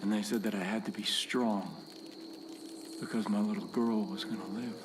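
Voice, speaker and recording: An actor playing a solemn, devout cult preacher in a video game, telling an intimate story with hushed, unsettling calm. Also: male voice, fairly low pitch, normal speed, music in the background, close to an everyday voice. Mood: haunted, fervent. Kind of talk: confessional game cutscene monologue.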